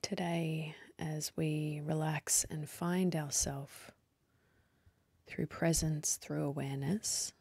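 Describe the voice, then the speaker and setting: Low, breathy woman guiding meditation. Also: level tone, a little mellow, dry recording. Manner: slow, soothing, with long pauses. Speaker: meditation guide. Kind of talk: guided meditation.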